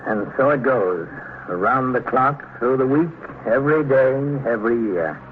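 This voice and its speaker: An American man playing a steady, matter-of-fact police captain who also narrates a 1950s radio drama. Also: medium pitch, moderate pace, close to an everyday voice. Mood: measured, matter-of-fact. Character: male police captain, steady, measured, kindly. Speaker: narrator and lead actor. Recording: muffled narrow-band old radio recording, faint noise.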